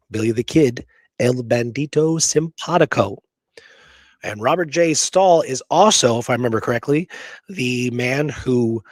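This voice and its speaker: A man's voice: mid-range voice, no echo. A man hosting a history show in a conversational, emphatic way, often checking in with the listener as he explains and reads out accounts. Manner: informal, emphatic, checks in with "okay?" and "you know?". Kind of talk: conversational commentary on history, reading accounts aloud.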